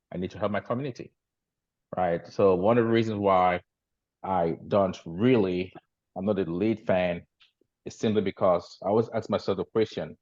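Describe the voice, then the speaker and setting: Deep-voiced man from Cameroon on a video call. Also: darkish tone, dull audio. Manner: halting, many fillers and restarts, grows emphatic. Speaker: guest agency leader. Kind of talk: casual storytelling on a team call.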